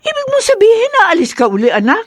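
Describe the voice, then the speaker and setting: Expressive doting Filipino radio-drama mother. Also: extremely high pitch, fast, no room sound, clean studio sound, exaggerated voice. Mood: probing, teasing. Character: doting, teasing mother of a grown returning son.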